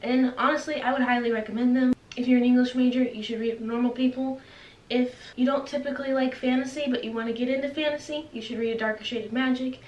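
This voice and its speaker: A woman's voice: high voice, fairly even intonation, fast, darkish tone, lo-fi sound. A young woman chatting casually to camera as a book vlogger, playfully dramatic and self-deprecating. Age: young adult. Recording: roomy, distant mic with faint hiss.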